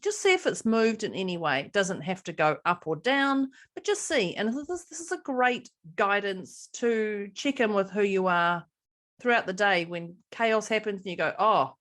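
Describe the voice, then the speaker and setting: A woman's voice: medium pitch, lively intonation, normal speed, a bit bright. A woman with a New Zealand accent co-hosting a podcast in casual chat, bubbly and laughing often. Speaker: podcast co-host.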